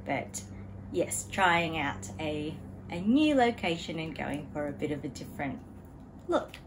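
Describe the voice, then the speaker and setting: Woman hosting a home knitting vlog; slow, easygoing delivery, slightly low voice. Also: noisy background. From Australia. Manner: relaxed, chatty, frequent ums and small laughs. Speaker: knitting vlogger. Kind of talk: casual chat to camera. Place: home room beside a desk.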